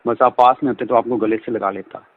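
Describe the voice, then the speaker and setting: A man's voice: mid-range voice, steady tone, brisk. Phone-line man, effusive flirt. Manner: effusive, repetitive, talkative. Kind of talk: flirtatious phone conversation in Hindi mixed with English. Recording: band-limited phone call audio.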